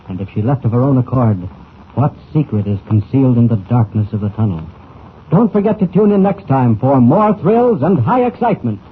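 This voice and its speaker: A man's voice: medium pitch, phone-quality sound. An American man announcing a radio serial in dramatic 1940s style, theatrical and emphatic, on a scratchy old recording.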